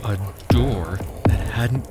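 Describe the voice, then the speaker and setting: A man playing a weary husband and father, narrating a horror audio drama in the first person with dramatic pauses. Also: mid-range voice, loud music behind the voice, natural voice. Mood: tense, frightened. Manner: slow, dramatic storytelling with heavy pauses. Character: weary husband and father recounting a terrifying cabin getaway. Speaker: narrator and actor.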